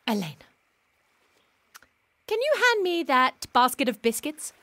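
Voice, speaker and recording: Playful wise regency lady, gentle philosophical type, high voice that swoops around a lot. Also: big pitch swings, unhurried, no echo, full character voice. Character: wise, wry, playful woman consoling a younger companion. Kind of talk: period audio drama acting.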